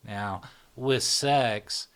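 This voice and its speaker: A middle-aged man explaining psychology in a relaxed interview, often checking in with "right?". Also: mid-range voice, very slow. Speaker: psychology channel founder, explaining. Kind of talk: explanatory conversation.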